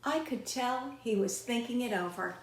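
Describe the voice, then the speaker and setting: A middle-aged woman reading a children's story aloud expressively, with deliberate, child-directed questions to listeners. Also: a little high. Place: small indoor room with shelves. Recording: roomy indoor echo.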